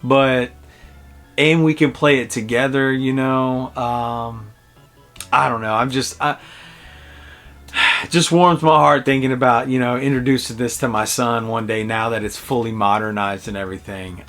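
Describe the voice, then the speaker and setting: A man's voice: mid-range voice, fairly bright tone. Man in his forties reviewing games over music. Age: early 40s. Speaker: video game reviewer. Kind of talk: casual review commentary.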